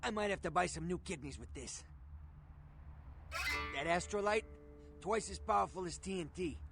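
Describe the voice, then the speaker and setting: A man's voice: sits high, animated, moderate pace, fairly bright tone, faint music behind, exaggerated voice. A male actor playing a streetwise, shady fixer in a video game, talking in blunt tough-guy slang. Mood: relieved, eager. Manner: gruff slangy tough-guy talk, matter-of-fact. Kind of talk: video game dialogue acting.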